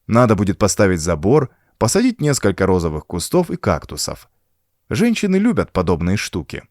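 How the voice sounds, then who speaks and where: A man's voice: lowish voice, big pitch swings, a little fast, a little mellow, no echo, clean studio sound. A man reading a horror story as an audiobook narrator, in a dramatic scripted style.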